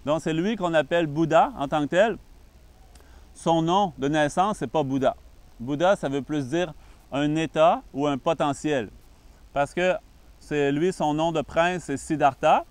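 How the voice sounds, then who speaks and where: Calm Québécois man, smooth clear voice. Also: fairly high pitch, no echo. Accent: Québécois French. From Canada. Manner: calm, patient explaining with frequent pauses. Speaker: Buddhist monk and meditation teacher. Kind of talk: introductory talk on meditation.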